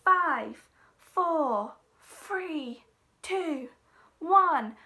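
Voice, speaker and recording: A woman voicing an excited, inventive male storybook hero who proclaims his plans loudly. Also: very high voice, extremely slow, voice pushed up, heavily acted. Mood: excited countdown. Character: Marcel, excited inventive ice-cream-loving young hero.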